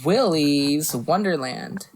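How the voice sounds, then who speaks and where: A woman's voice: sits low, expressive, slow, darkish tone. A woman co-hosting a podcast, chatting casually about movies in a blunt way and swearing freely. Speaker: podcast co-host.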